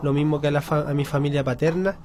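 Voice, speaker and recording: Guy on the radio, kinda high voice, talks slow and thoughtful with lots of pauses. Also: fairly even intonation, background noise. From Chile. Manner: reflective, pauses with frequent fillers. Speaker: guest. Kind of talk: radio interview, personal story.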